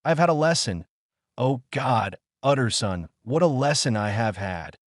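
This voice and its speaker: A man voicing a sick, anxious gentleman doctor in an audiobook, fervent, solemn and formal. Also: medium pitch, animated, moderate pace, no room sound, clean studio sound, close to an everyday voice. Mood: anguished, solemn. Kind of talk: dramatic audiobook character reading.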